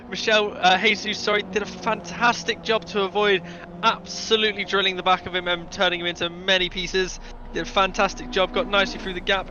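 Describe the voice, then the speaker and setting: A man's voice: high voice, dull audio, background noise. A man giving color commentary on an online race, chatty and analytical, with light teasing of the drivers. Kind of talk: sim racing color commentary.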